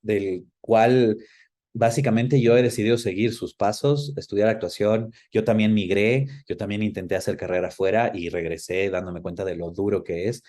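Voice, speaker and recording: A man's voice: fairly low pitch, fairly even intonation, normal speed, dark tone. A man speaking conversationally as an interview guest, thoughtfully searching for words and rephrasing as he goes.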